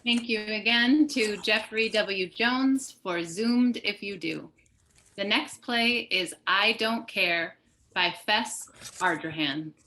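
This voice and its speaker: A woman's voice: medium pitch, takes it slowly, sharp tone, a little hiss or hum. A woman hosting and announcing plays at an event held over a video call. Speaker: host.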